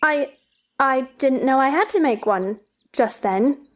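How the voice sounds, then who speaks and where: A woman's voice: high-pitched, animated, slow. A woman playing a curious, polite young girl, speaking with earnest wonder in a theatrical storybook dramatization. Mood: puzzled, polite.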